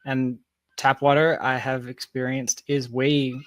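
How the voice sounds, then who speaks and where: A man's voice: medium pitch, flat delivery, a little slow, fairly bright tone, dry recording. Man telling stories casually, dry close sound. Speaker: podcast guest, founder. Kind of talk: casual, anecdotal conversation.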